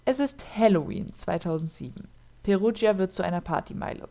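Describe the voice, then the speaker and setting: A woman's voice: medium pitch, lively intonation, fairly quick, mellow. A woman, co-hosting a casual podcast and narrating a true crime case, chatty with lots of fillers. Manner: chatty, laughs often, many fillers.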